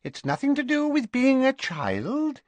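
A man's voice: extremely high pitch, wildly swooping pitch, measured pace, dry recording, voice pushed up, heavily acted. A man voicing a fussy, flustered older woman who runs a robe shop, pleading anxiously. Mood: fussing.

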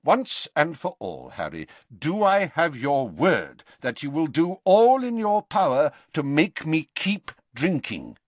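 A man's voice: on the high side, big pitch swings, takes it slowly, few pauses, dry recording, pitched above the performer's own voice, heavily acted. A man voicing a wise old wizard headmaster in an audiobook, firm, solemn and authoritative. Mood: firm insistence. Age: elderly.

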